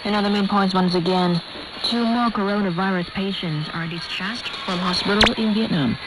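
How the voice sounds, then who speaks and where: A woman reading the news in an even, careful way, on a radio broadcast. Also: mid-range voice, moderate pace. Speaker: newsreader. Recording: noisy shortwave radio broadcast. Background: shortwave static and hiss.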